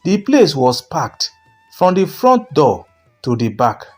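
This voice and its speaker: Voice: medium pitch, very animated, takes it slowly, faint music behind. A narrator telling a scripted folktale in a dramatic storytelling style.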